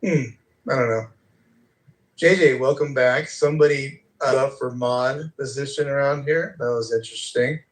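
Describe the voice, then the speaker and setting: A man's voice: mid-range voice, moderate pace. A man hosting a live audio chat room, talking loosely and rambling with many fillers. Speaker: room host and moderator.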